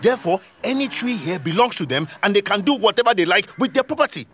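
An actor playing a proud, sarcastic, hot-tempered Nigerian village leader in an audio drama, arguing and mocking. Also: male voice, sits high, lively intonation, fast talker, fairly bright tone. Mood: irritated. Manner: mocking, argumentative.

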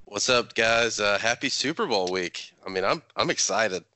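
A man's voice: fairly low pitch, expressive, moderate pace, bright, forceful tone, no room sound. A man who is a little under the weather, chatting casually about sports as a podcast co-host.

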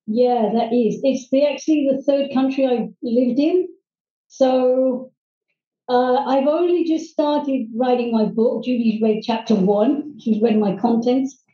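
Distant middle-aged woman, halting. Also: on the high side, dark tone. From Hong Kong. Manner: earnest, halting with many ums and restarts. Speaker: guest, private English teacher. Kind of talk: personal storytelling in interview. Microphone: video call. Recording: distant, roomy, dull video-call audio.

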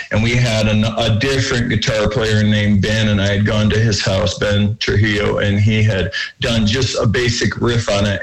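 A man who sings in a rock band, talking casually in an interview with a lower voice and lots of fillers. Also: gravelly, low-quality recording. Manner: rambling, chatty, many fillers. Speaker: band singer.

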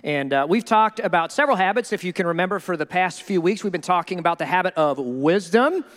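High-voiced animated pastor preaching. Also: male voice, quick pace, in a large hall. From Minnesota. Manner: animated, jokey, acts out quoted people. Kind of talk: sermon.

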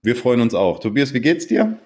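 A man hosting a podcast interview, asking questions in a halting way with many fillers. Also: medium pitch, very animated, a little mellow. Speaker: podcast host.

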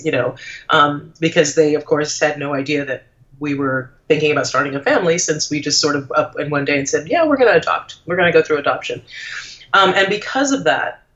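A woman's voice: low-pitched, a little fast, fairly bright tone. A woman from Trinidad, a lawyer turned blogger, chatting reflectively as an interview guest and thinking aloud as she goes. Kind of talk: casual conversational interview. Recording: dull lo-fi audio.

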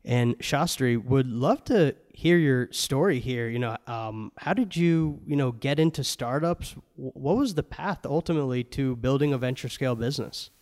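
A man's voice: medium pitch, normal speed, few pauses, a little mellow, in a large hall. A man hosting a podcast, asking questions in a casual way with filler words. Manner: casual, uses fillers like you know. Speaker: podcast host. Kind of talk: interview hosting.

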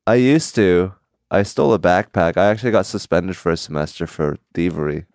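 A young man talking in casual chat, deadpan and halting, with pauses and fillers. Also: sits low, no echo, studio quality. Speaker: comedian guest.

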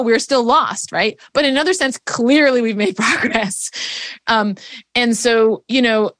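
A woman's voice: medium pitch, no room sound, studio quality. A woman, a guest, explaining her views in a reflective conversation, articulate but full of fillers like "um" and "like.". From child of Hungarian immigrants. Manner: articulate, many fillers, animated.

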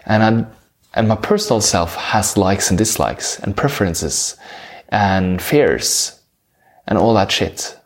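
A man from Norway with a Norwegian accent, talking slowly about spirituality and surrender, pausing and correcting himself as he searches for words. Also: fairly low pitch. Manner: slow, searching, with many pauses and self-corrections. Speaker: spiritual speaker. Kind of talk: reflective spiritual talk.

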